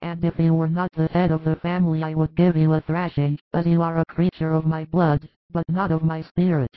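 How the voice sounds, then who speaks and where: A man voicing a quick-tempered older mother who scolds with strong emotion. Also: a little high, no room sound, soft background music, voice pushed up, lightly acted. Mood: angry. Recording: thin phone-like recording.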